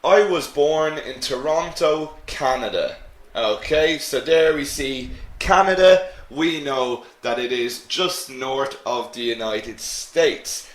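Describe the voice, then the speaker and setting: A man teaching an English grammar lesson to students in a slow, clear way. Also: medium pitch, echoey room.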